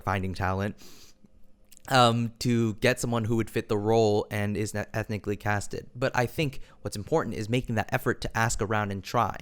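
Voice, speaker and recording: A man with a smooth, clear voice sitting a little low in pitch. He talks through an opinion monologue as an online commentator. He stays measured and keeps hedging his points with personal qualifiers.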